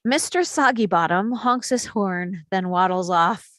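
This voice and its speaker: A woman reading the action lines of a screenplay at a table read, in a steady, descriptive way. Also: mid-range voice, measured pace, no room sound, clean studio sound. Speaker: reader of stage directions.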